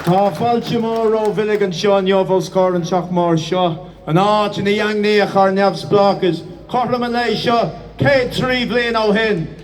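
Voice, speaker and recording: A man's voice: high voice, moderate pace, recorded from a distance, a little hiss or hum. An Irish-accented man speaking as master of ceremonies, giving a declamatory public address with some hesitations. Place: outdoors in front of a building.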